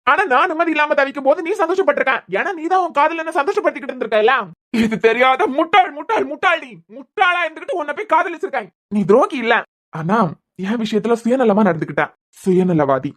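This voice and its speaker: A woman's voice: high-pitched, lively intonation, fast talker, fairly bright tone. Woman doing a bitter, sarcastic young husband. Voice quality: sarcastic, bitter, repeating words when angry. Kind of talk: amateur audio drama.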